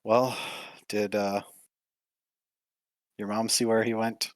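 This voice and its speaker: A man playing in a tabletop game, joking and talking in character with a sarcastic, expressive manner. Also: lowish voice, takes it slowly, dry recording. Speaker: player. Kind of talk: in-character tabletop play and banter.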